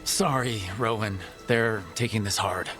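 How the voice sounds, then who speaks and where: A man's voice: on the low side, a little slow, music in the background, close to an everyday voice. A male actor playing an easygoing, supportive party leader in a video game, casual and a little wry.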